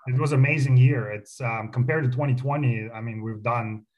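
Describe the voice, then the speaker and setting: A middle-aged man with a Russian accent talks in a loose, chatty way, full of ums and restarts, as a guest in a radio studio. His pitch sits in an ordinary middle range. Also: a little fast, darkish tone, low-quality recording. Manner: chatty, many fillers and restarts, proud. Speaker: company founder guest. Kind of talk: casual radio interview.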